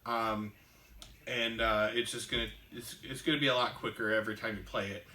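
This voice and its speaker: A man hosting a casual board game show, explaining the rules in a halting way with many ums and restarts. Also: on the low side, steady tone, sharp tone, echoey room.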